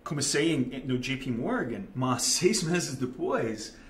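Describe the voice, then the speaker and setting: A man's voice: medium pitch, sing-song, slow pace, slight background noise. A man in his forties from Texas, speaking Portuguese with a Texan American accent, hesitant, with many fillers and restarts. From United States, grew up in Dallas. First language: English. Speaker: guest, investment manager. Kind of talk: casual interview.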